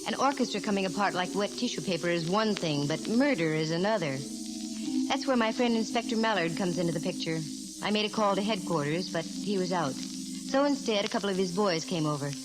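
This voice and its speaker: An actress playing a brisk, dry, wisecracking female private detective in 1940s radio style. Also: medium pitch, expressive, loud music behind the voice, close to an everyday voice. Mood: cool, wry. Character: wisecracking, cool-headed female private detective narrating her cases. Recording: hissy, noisy 1940s radio recording.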